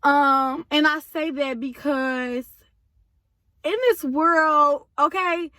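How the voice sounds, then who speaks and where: A woman talks straight to her viewers in a loose, chatty way. Her voice sits high and comes across bright and forceful, though a little hoarse. The sound is dry and close. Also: steady tone, extremely slow, dry recording. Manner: chatty, addresses viewers directly. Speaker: vlogger. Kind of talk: casual chat to viewers.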